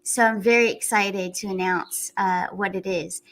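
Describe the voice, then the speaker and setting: A woman hosting a casual livestream, chatty and excitable, laughing often as she talks to her viewers. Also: medium pitch, moderate pace, poor audio. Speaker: livestream host.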